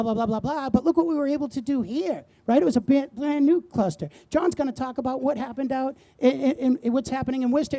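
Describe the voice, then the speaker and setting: A man's voice: very high-pitched, mellow. A middle-aged man with a Boston accent, speaking as a panelist at a conference. He talks with enthusiasm and emphasis, and asks himself questions before answering them. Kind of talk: animated panel talk.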